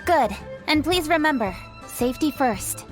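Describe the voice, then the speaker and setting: A sharp, efficient young official, talking in a high, firm and businesslike voice. She sounds principled and to the point, with light game music behind. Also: lively intonation, a little slow. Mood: friendly. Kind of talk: game cutscene voice acting.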